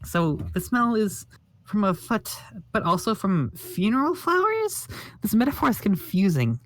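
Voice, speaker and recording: Man doing a goofy high-voiced teen boy. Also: big pitch swings, a little slow. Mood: eager. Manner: eager, pleading, easygoing. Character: cheerful naive teenage boy, eager and goofy. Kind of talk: comic read-along voice acting.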